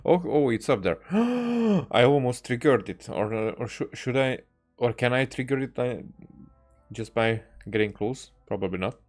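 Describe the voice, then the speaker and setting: A man giving casual, rambling game commentary as a streamer, speaking haltingly and often repeating and restarting his phrases. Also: medium pitch, animated, darkish tone, faint music behind. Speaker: livestreamer playing a game.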